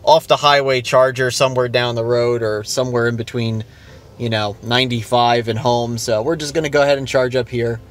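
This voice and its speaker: A man's voice: medium pitch, level tone, brisk, a little hiss or hum. A man casually narrating a road trip from his car, chatty and upbeat, thinking aloud with fillers. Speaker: vlogger. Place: in a car on the highway.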